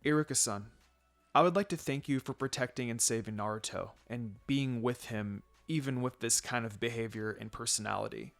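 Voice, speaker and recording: A man's voice: mid-range voice, normal speed, creaky, soft background music, close to an everyday voice. A man voices a young ninja woman in an anime-style story. She is protective and earnest, formal in her wording, polite yet fierce. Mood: grateful, soft.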